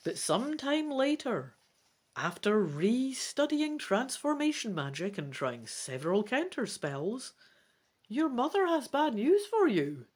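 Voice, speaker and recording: A gamebook reader and host giving dramatic, deliberate storybook narration with casual asides. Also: medium pitch, very animated. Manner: theatrical, deliberate phrasing.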